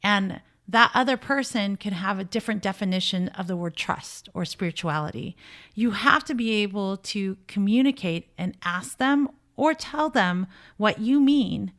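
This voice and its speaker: A woman's voice: mid-range voice, expressive, normal speed, a bit bright. A woman speaking as a dating coach, giving conversational relationship commentary in an emphatic, opinionated and blunt way. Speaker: dating coach and show host.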